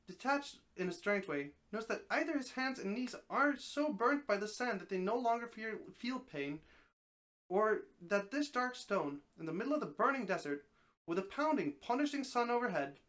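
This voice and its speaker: Expressive story narrator with a mid-range voice. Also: mid-range voice. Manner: expressive narration, occasional stumbles and repeated phrases. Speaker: streamer reading a story aloud. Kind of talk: live dramatic story reading.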